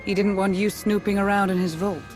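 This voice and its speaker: An actress playing a shrewd, wary military adviser in a video game, measured and pragmatic. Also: female voice, medium pitch, level tone, music in the background, close to an everyday voice. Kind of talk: dramatic video game acting.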